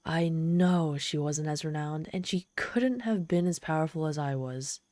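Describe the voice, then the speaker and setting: Casting: woman to play a trapped tsundere demoness, low and soft-toned, proud yet desperately lonely, close dry ASMR roleplay delivery. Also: no room sound. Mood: reluctant. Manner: exasperated, sardonic, pleading, halting when vulnerable. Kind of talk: ASMR roleplay monologue, dramatic storytelling.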